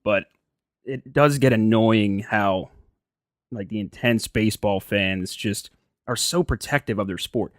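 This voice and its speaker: American man, lower-pitched voice, dry sound, conversational, opinionated, casual sports talk. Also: measured pace, no room sound. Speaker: sports podcast co-host.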